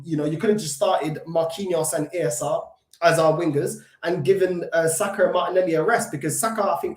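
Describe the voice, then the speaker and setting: A man with a London accent, pitched a touch high, rants about his football team in a reverberant, echoey room. He is still recovering from illness, so the voice is not at full strength. He is emphatic and repetitive, stumbles through restarts, and fills his pauses with ums. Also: a little mellow. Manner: frustrated, emphatic, repeats himself with many fillers and restarts. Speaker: football fan channel host. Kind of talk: solo fan reaction commentary.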